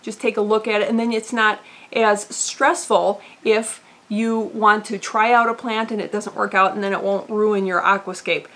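A woman's voice: on the high side, fairly bright tone. A woman in her thirties hosting an aquarium vlog, talking casually with frequent "uh" fillers, seated in an indoor room full of tanks. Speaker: aquarium channel host. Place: indoor fishroom with tank racks.

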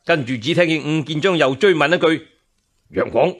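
A man's voice: on the high side, a little fast, a bit bright. A man with a Cantonese accent narrating as a storyteller in a dramatic, traditional radio style.

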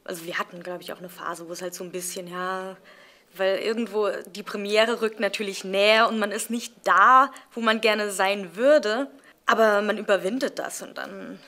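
Bright-toned young woman, measured. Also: medium pitch, steady tone. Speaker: actor. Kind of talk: interview. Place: indoor theatre space with brick wall.

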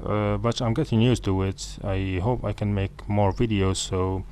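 Halting low-voiced man, Arabic native speaker. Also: steady tone, darkish tone, slight background noise. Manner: halting, many fillers and self-corrections. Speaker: programming tutor. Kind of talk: screen-recorded coding tutorial.

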